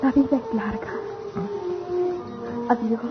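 A woman's voice: on the high side, steady tone, takes it slowly, over loud music. A woman acting a resolute, devoted young betrothed country girl, solemn and firm, in a radio melodrama. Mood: sad farewell. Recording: dull lo-fi radio drama sound.

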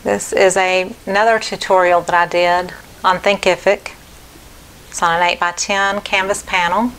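A middle-aged woman artist showing her paintings one by one for an auction preview. She talks in a friendly, unhurried way and repeats the details. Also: lowish voice, level tone, fairly bright tone, a little hiss or hum, low-quality recording. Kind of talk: slow, plain auction preview talk. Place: indoor home studio.

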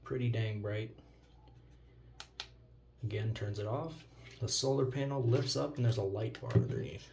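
American man, deepish voice, relaxed tone, informal product demo, noisy recording with handling clicks. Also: normal speed, gravelly, pauses often. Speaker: product reviewer. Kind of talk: casual product demonstration. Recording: noticeable noise, handling clicks.

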